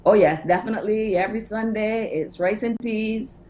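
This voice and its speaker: A woman's voice: mid-range voice, normal speed, a little mellow. An older woman with a Barbadian accent, talking conversationally as an interview guest.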